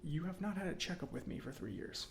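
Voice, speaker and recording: A man playing a chatty, self-satisfied robot mechanic in a soft-spoken ASMR roleplay, breathy and often near a whisper, musing slowly with pauses. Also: fairly high pitch, expressive, a little hiss or hum. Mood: gently scolding. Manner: unhurried, hesitant musing with pauses.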